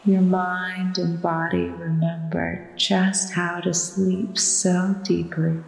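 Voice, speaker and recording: A woman guides a sleep meditation at a very slow, deliberate pace. Her hushed, soothing delivery sits low in pitch. It is bathed in long, echoing reverb. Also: little pitch movement, creaky. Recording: echoey long reverb with music behind. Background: relaxation music with ocean waves.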